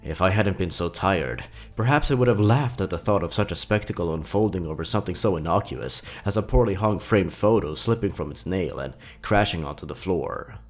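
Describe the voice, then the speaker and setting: A man's voice: sits low, fairly quick, few pauses, faint music behind. A man narrating scripted horror stories in a suspenseful, theatrical manner.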